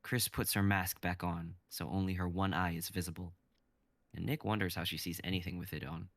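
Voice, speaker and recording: A man narrating a script and its stage directions in an even, descriptive way. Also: low voice, fairly quick, no echo. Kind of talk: reading a script aloud.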